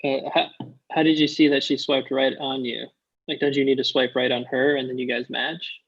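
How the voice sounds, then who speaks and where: A man's voice: mid-range voice, level tone, moderate pace, slightly rough, lo-fi sound. A man acting a skeptical, blunt friend at a comedy table read, questioning and wry.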